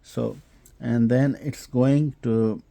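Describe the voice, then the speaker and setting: A man giving an unscripted technical product demonstration, explaining haltingly with frequent hesitations and repeats. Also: mid-range voice, fairly even intonation, creaky, dark tone. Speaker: host demonstrating audio equipment.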